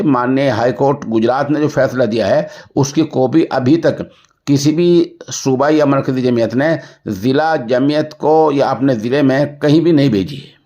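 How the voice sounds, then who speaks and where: A man delivers news and commentary in a formal register. His voice sits at an average pitch for a man, and he speaks earnestly and deliberately. The recording is somewhat dull and low in fidelity. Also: darkish tone. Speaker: news presenter.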